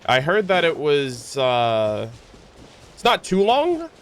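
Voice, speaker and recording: A man chatting casually on a livestream as he streams games, rambling along in a halting way. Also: a little high, wildly swooping pitch, fairly bright tone, a little hiss or hum. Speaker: game streamer. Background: background music.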